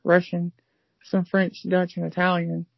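A woman's voice: on the low side, monotone, unhurried, creaky, no room sound, muffled recording. A woman from the United States chatting casually about learning languages, rambling with many fillers and restarts. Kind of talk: casual conversation.

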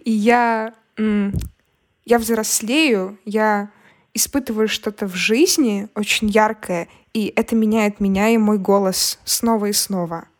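A young woman answering casually as a podcast guest, expressive and informal, acting out her own inner dialogue. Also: medium pitch, measured pace. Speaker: podcast guest, singer and content maker. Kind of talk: casual conversational interview answers.

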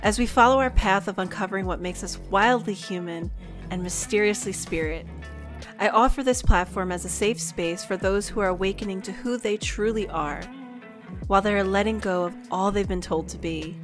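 A woman's voice: mid-range voice, moderate pace, over music. A woman hosting a spiritual podcast, reading a scripted welcome and then chatting in a gushing, effusive way with casual swearing. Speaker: podcast host.

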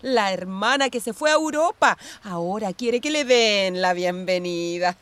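Actress doing a bossy older chilean ex cop lady, bitter, paranoid and sarcastic, mid pitch, radio drama. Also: lively intonation, unhurried, fairly bright tone, no echo. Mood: scornful. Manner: authoritative, sarcastic, cold. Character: Ingrid, older ex police commander, imperious, paranoid, bitter sister. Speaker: actor in a radio play. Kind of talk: Spanish-language radio theatre acting.

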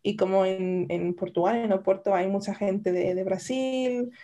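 A woman co-hosting a podcast, telling a story in a relaxed chat with frequent restarts. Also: mid-range voice, measured pace. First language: Spanish. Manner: storytelling, many restarts and "o sea". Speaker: podcast co-host. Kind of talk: casual chat over wine.

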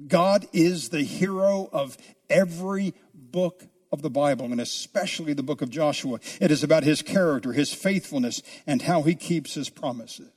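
A man preaching an expository sermon as a pastor, speaking deliberately with drawn-out, emphatic phrases. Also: a little high, a little mellow. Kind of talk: expository sermon, teaching a Bible book.

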